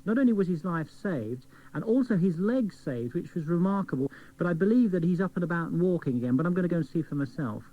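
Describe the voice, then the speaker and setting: A man's voice: fairly high pitch, lively intonation, quick pace, dark tone. A man, a doctor being interviewed, explaining things articulately and telling anecdotes. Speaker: doctor, studio guest. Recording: tinny band-limited old cassette radio recording.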